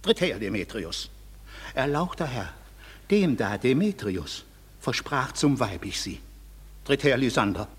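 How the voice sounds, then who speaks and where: An actor playing a stern, aggrieved father in a classical verse radio drama, declaiming indignantly with a husky voice. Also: medium pitch, very animated, unhurried, long pauses. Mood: indignant, accusing. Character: stern, aggrieved father demanding his paternal rights. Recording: hall-like reverb.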